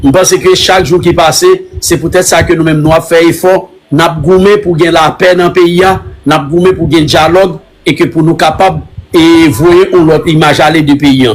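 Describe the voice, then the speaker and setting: A Haitian man whose first language is Creole speaks halting French. He answers questions in a live online conversation, repeating himself often and slipping into Creole words. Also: a little high, fairly even intonation, low-quality recording. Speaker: interviewee.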